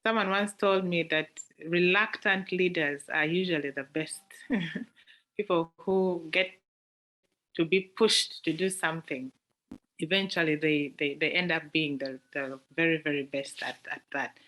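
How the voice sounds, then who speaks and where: A woman's voice: low voice, fairly even intonation, unhurried, sharp tone, poor audio. A woman with a Ugandan accent hosting an online club meeting, hesitant and full of fillers. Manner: hesitant, fillers and repeated words. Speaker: meeting host. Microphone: video call.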